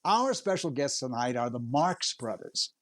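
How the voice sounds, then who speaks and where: A man's voice: mid-range voice, sing-song, unhurried. A man playing a polite, eager host of a 1930s radio variety show. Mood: polite, upbeat. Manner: courteous, upbeat.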